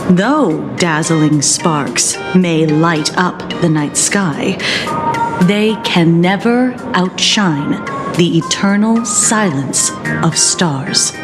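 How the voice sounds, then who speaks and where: A woman playing a composed female agent in a game, precise, formal and calmly instructive. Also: fairly low pitch, extremely slow. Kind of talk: game voice acting. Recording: loud background music under studio voice.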